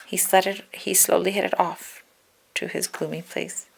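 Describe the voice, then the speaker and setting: A woman reading a children's storybook aloud in an expressive narrating style, now and then correcting a slip. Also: lowish voice, a little slow, gravelly, husky, poor audio. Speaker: storybook reader.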